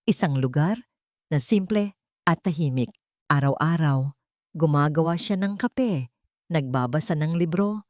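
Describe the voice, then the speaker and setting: A woman narrating a scripted romantic drama in a measured, solemn and sentimental manner. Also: fairly low pitch, a little mellow, no echo, clean studio sound. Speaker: story narrator.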